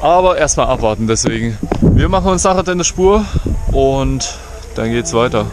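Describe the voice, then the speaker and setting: A man's voice: mid-range voice, moderate pace. Young man vlogging on a handheld camera. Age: young adult. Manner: relaxed, chatty, explaining as he goes. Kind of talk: casual travel vlog. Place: outdoors by a lake and road in a forest. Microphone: action camera held at arm's length. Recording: outdoor camera audio with clear background noise.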